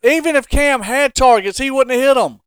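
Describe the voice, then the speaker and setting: High-voiced, emphatic American man. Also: normal speed, a bit bright, clear, resonant tone, no room sound, studio quality. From Carolina, United States. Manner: emphatic, insistent, rhetorical questioning. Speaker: sports podcast host. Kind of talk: casual sports debate.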